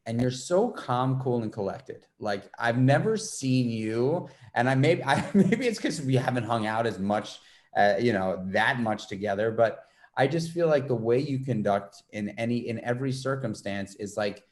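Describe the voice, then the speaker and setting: A man's voice: mid-range voice, lively intonation, normal speed. A man from New York City hosting a podcast interview, chatting in a loose, rambling way full of fillers. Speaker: podcast host. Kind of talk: casual interview chat.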